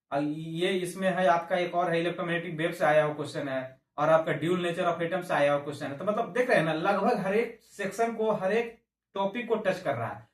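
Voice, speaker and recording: Echoey, higher-pitched male coaching teacher. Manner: repeats and restarts phrases, mixes in English terms. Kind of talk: informal explanatory lecture to exam aspirants. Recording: echoey room, distant microphone.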